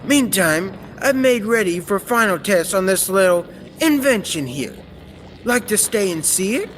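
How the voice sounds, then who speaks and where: A man playing a kindly, absorbed scientist of the future in old-time radio serial style, theatrical and deliberate. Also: high-pitched, expressive, a bit bright. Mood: busy, genial. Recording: reverberant, noisy stage-like recording.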